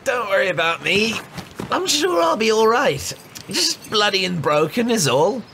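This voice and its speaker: An actor playing a panicky, self-deprecating wounded soldier in a fantasy audio drama. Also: male voice, high voice, very animated, unhurried, gravelly, pushed and bright, exaggerated voice. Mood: pained, wry. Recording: noisy outdoor battle ambience.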